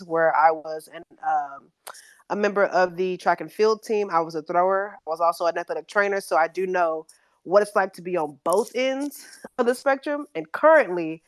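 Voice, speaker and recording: A woman's voice: fairly low pitch, no echo. A woman from Texas introducing herself casually as a panel guest, informal and chatty with a slangy way of talking. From United States, Texas. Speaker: athlete development director, panel guest.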